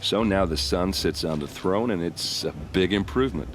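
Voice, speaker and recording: A man's voice: low-pitched, normal speed, a little hiss or hum, natural voice. Man acting blunt, jovial warrior. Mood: easygoing. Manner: blunt, colloquial. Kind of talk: cinematic video game acting.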